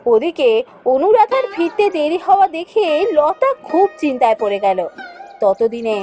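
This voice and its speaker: A woman's voice: high-pitched, very animated, moderate pace, warm tone, faint music behind. A woman narrating a children's fairy tale as a storyteller.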